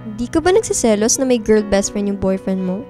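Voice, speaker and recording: A woman's voice: medium pitch, animated, brisk, mellow, close to an everyday voice. A woman playing a guarded, blunt, introverted young female student in a radio drama, speaking in a frank, dry way. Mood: blunt, defensive. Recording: music bed behind dialogue.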